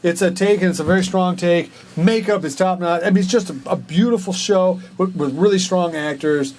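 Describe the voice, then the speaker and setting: A man co-hosting a review show, talking casually and off the cuff, explaining things in a halting, hedging way. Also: sits high, darkish tone, a little hiss or hum. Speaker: co-host of a film and TV review show. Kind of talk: casual unscripted review chat.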